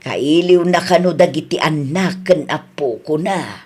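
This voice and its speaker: Woman as caring mother, middle-aged, Ilocano Filipino accent, animated melody, radio drama. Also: low-pitched, a little slow, breathy edge, heavily acted. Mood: reassuring.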